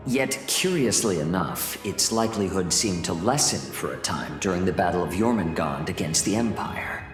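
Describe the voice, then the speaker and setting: An actor voicing an urbane, smugly courteous corporate manager in a video game, speaking in formal, measured, wordy phrases. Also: male voice, low voice, close to an everyday voice. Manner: formal, verbose, genteel, measured. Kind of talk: video game cutscene voice acting, expository. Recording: roomy echo, music underneath.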